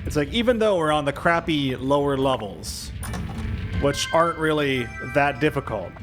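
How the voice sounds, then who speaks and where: A man's voice: fairly high pitch, lively intonation, normal speed, a bit bright. Man musing over game music. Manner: thinking aloud, indecisive musing. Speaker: video game streamer doing a let's play. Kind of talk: casual gameplay commentary. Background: video game music.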